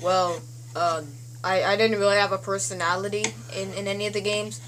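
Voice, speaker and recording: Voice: mid-range voice, little pitch movement, brisk, natural voice. A sheepish, ordinary mushroom character voiced by a performer in a homemade show. The talk is hesitant and plain, with faint noise behind it. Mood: flat, sheepish. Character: bland, unassuming regular-guy mushroom game character.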